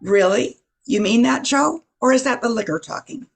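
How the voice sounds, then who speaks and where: An older woman playing a brisk, self-assured, wry radio newsroom boss in a 1940s-style radio comedy, with a creaky, husky voice. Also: on the high side. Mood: teasing, wry.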